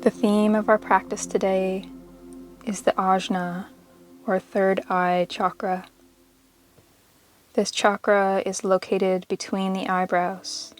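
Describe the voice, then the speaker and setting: Slow, even-toned woman guiding meditation over music. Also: medium pitch. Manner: soothing, unhurried, measured instruction with pauses. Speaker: yoga nidra meditation guide. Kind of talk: guided meditation narration.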